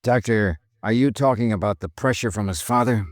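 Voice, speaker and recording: An actor playing a tough, terse, sardonic investigator in a 1950s radio noir drama, in both dialogue and narration. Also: male voice, lowish voice, brisk, no room sound, clean studio sound, lightly acted. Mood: probing. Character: tough, blunt hardboiled insurance investigator.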